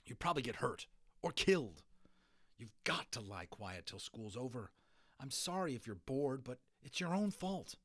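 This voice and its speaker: A man's voice: medium pitch, animated, moderate pace, fairly bright tone, no echo, clean studio sound, pitched above the performer's own voice. A man voicing an exasperated storybook schoolboy, speaking in an earnest, insistent way. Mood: urgent, reasoning. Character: exasperated schoolboy scolding and reasoning with tiny companions.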